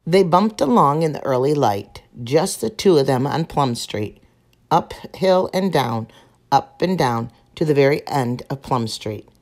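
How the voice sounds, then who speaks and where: A woman reading a children's picture book aloud in a slow, gentle and expressive way. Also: low-pitched. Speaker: storyteller.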